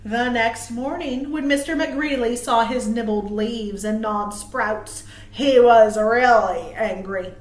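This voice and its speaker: A woman's voice: on the high side, echoey room, poor audio. A woman reading a picture book aloud to young children, expressively and with dramatic emphasis, as a librarian at storytime. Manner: expressive, asks listeners questions, dramatic emphasis.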